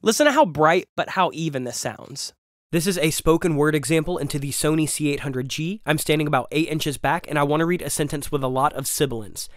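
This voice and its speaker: A man's voice: a little high, fairly quick, dry recording. A young adult man hosting a casual gear review, enthusiastic and chatty and repeating words for emphasis, a bit nasal from a cold, talking close into a studio condenser. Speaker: music producer and YouTube host. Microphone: large studio condenser close up.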